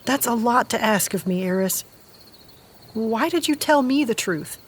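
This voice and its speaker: An actress playing a fiery, protective aunt in an audio drama, speaking bluntly and with emotion. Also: female voice, a little high, lively intonation, measured pace, breathy edge. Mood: urgent, pleading. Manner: blunt, urgent questioning.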